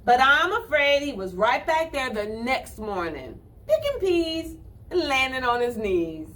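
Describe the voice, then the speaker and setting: A woman hosting a children's storytime, reading and talking to young listeners in an expressive, singsong way. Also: high-pitched. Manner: expressive, drawn-out, singsong delivery addressed to children. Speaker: children's storytime reader and host. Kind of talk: storytime read-aloud and singing for young children.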